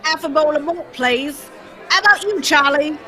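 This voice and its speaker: Woman playing a high-voiced, confident male detective. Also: normal speed, pushed and bright, a faint crowd. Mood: chummy. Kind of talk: old-time radio drama script read live.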